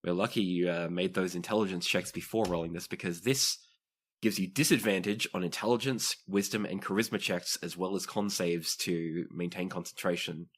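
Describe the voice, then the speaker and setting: A man with a low voice acts as game master for a tabletop roleplaying game. He narrates scenes and explains the rules to his players. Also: fairly even intonation, normal speed.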